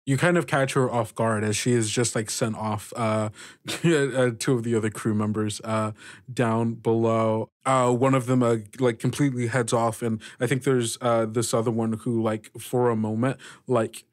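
A man narrating a tabletop roleplaying game as game master, improvising in short, halting phrases. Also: mid-range voice, fairly even intonation, dry recording, studio quality.

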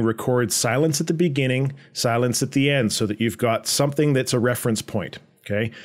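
Man narrating an informal software tutorial. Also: medium pitch. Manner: conversational, explanatory. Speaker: tutorial presenter.

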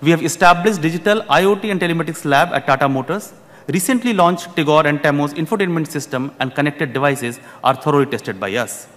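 A man's voice: a little high, fairly bright tone. A man in his thirties giving a prepared conference keynote from a podium, speaking formally and often repeating words as he restarts phrases. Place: large conference hall, at a podium. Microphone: podium microphone. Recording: long hall reverb.

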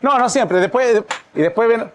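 A Chilean man, a musician guest on a podcast, chatting in a lively, slangy way and laughing often. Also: extremely high pitch, normal speed, slight background noise. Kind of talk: casual chat.

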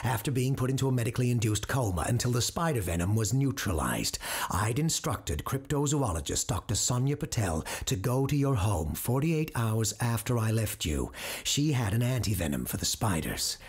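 An actor playing a clinical, self-assured radio host doctor who deals with the supernatural, in an audio drama. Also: male voice, mid-range voice, very animated, normal speed, husky. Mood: cold. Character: cold calculating male radio host doctor, supernatural expert.

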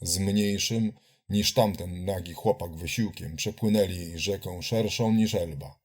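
A man's voice: sits low, fairly even intonation, unhurried, darkish tone. A man giving a dramatic reading of a political essay in a solemn, accusatory, declamatory manner. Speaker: reader of political writings.

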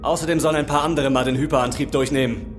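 An actor in a German audio drama playing a gruff, pragmatic, dry alien starship commander. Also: male voice, medium pitch, fairly even intonation, racing, close to an everyday voice. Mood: irritated. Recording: music behind the voice.

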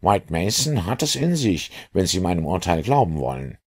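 A man voicing a brisk, excitable male police inspector in an audiobook, urgent and emphatic. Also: low voice, slightly rough, full character voice. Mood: earnest, informative. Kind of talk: audiobook character dialogue.

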